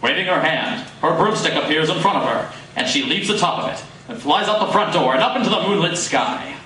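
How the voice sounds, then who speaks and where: A man's voice: fairly high pitch, expressive, brisk, sharp tone. A man narrating dramatically from a script in the style of a radio serial, at a live performance. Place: live coffee house performance. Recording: echoey live coffee house, distant, noisy.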